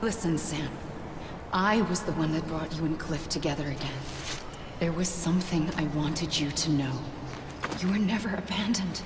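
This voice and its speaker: An actress playing a solemn, gentle, otherworldly woman in a video game cutscene, speaking in a measured, earnest way. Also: deep voice, background noise, close to an everyday voice. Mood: tender confession. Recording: music behind the voice.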